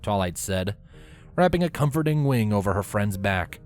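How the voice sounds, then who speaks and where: A man's voice: on the low side, expressive, normal speed, faint music behind. A man giving scripted narration of a fan fiction story as the narrator.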